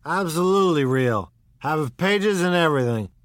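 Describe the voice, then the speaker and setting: A man doing an excitable drunk middle-aged man. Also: fairly high pitch, moderate pace, dry recording, pitched above the performer's own voice, lightly acted. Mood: excited. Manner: impetuous, excited, rambling.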